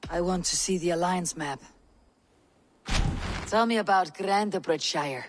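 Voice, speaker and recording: An actress playing a calm, steadfast Viking warrior in a video game, speaking in terse, formal, resolute lines. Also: female voice, on the low side, unhurried, background noise, natural voice. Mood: steady, resolute. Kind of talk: dramatic video game cutscene acting.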